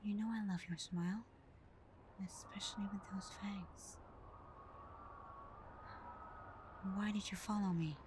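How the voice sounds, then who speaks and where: A woman playing a caring, hurt vampire girlfriend in an ASMR roleplay, speaking softly and tenderly, often half whispering, with hesitant pauses. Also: mid-range voice, steady tone, noisy background, lightly acted. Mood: guarded affection. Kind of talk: ASMR roleplay, intimate scripted acting.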